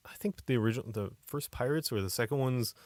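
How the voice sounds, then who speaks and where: Male podcast co-host, average pitch, dry close sound, casual and opinionated. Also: fast talker, darkish tone, no echo. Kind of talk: casual movie discussion.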